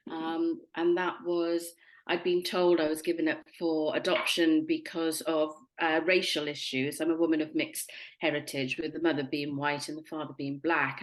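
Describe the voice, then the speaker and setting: A woman in her fifties or sixties with a Welsh accent, telling her own life story reflectively, with pauses. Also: lowish voice, rough recording. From Wales. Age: 50s or 60s. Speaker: podcast guest. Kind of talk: personal life story in interview.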